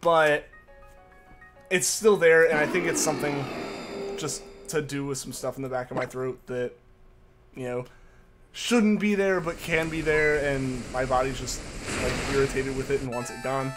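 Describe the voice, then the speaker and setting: A man's voice: medium pitch, moderate pace. A young man streaming live, chatting casually with viewers in a rambling way full of fillers, with a slight lingering cough. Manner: rambling, filler-heavy, repeats himself. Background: music playing underneath.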